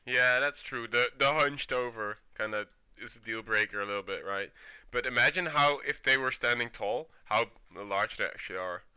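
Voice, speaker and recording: A young man from the Netherlands with a Dutch accent, chatting casually as a streamer while he plays, relaxed and laughing often. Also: mid-range voice, moderate pace, few pauses, smooth. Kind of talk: casual gameplay commentary.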